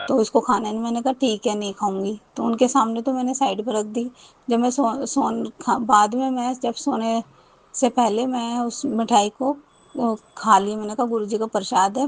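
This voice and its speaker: A woman tells a personal devotional story in a fairly high voice. She rambles, restarts her sentences often and repeats her thanks again and again. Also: low-quality recording. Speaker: devotee sharing a testimony. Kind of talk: personal testimony.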